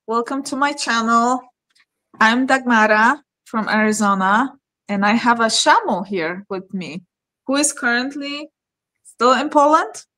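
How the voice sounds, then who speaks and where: A woman hosts her channel with a bright, clear, fairly high voice. She greets viewers in a friendly way and asks her guest direct questions in a casual tone. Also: unhurried, poor audio. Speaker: host of the channel. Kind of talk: casual interview hosting.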